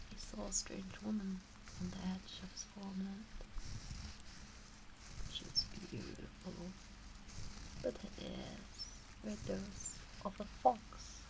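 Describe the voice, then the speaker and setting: Woman playing a hushed, slow-speaking gentle fox witch. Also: mid-range voice, background noise. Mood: tender, wistful. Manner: hushed, breathy, measured with long pauses. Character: gentle vixen witch telling a sad folk tale. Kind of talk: slow, soft ASMR roleplay storytelling, often whispered.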